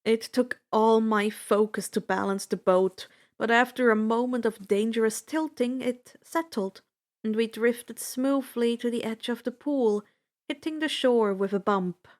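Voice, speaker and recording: A woman reading fan fiction aloud as an expressive first-person narrator, with a deliberate, dramatic delivery. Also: a little high.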